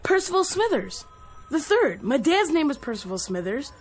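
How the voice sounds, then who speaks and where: A woman's voice: high voice, very animated, normal speed, faint music behind. An actress voicing a snotty, mocking rich little boy in an audio drama. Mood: bratty.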